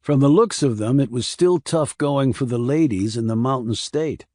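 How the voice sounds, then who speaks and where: A male narrator reads a crime thriller in a measured, serious manner. His pitch is medium, and the recording is very dry, with no audible room. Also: warm tone, no room sound. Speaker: audiobook narrator.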